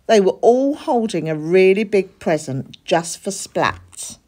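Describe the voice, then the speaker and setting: A woman reading a children's story aloud in an expressive narrating style. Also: medium pitch, slow. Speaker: storybook reader.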